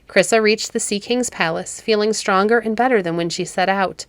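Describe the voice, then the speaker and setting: Female narrator, children's classic read-aloud, medium pitch, very dry sound. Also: moderate pace, no room sound. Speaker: storybook narrator and podcast host.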